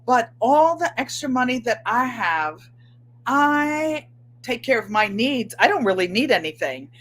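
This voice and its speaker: A woman in her seventies with a New England accent, slightly nasal and twangy, chatting excitedly to viewers as host of her livestream from a room at home. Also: on the high side, a little slow, slight background noise. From Connecticut, USA. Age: 70s. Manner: chatty, excitable, gestures with hands. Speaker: channel host. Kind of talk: casual livestream chat.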